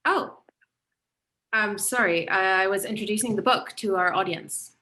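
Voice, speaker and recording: A woman's voice: medium pitch, normal speed, pushed and bright, lightly acted. A woman playing a flustered young author. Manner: flustered, stammering and apologetic. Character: flustered young female author, nervous and eager. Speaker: table read actor. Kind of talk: play table read.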